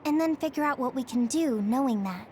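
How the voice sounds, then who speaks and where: A woman voicing a sweet, sincere young woman in an English video game dub, with an earnest, gentle manner. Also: high voice, lively intonation, a little fast, background noise. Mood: thoughtful.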